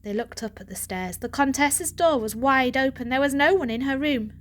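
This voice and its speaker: A woman's voice: high voice, fairly quick. Woman reading a children's story aloud. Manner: expressive, dramatic reading. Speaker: audiobook reader. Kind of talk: storybook narration.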